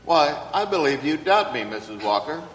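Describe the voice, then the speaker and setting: A man playing a kindly elderly department-store Santa in a radio play, gentle, earnest and courteous. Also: fairly high pitch, big pitch swings, moderate pace. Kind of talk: old-time radio play acting. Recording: echoey hall, distant reverberant stage sound.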